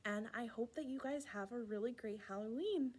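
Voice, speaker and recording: A woman hosting a children's storytime, reading a rhyming picture book aloud in an expressive, playful way with asides to young listeners. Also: on the high side, normal speed, slightly rough. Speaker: children's library storytime host.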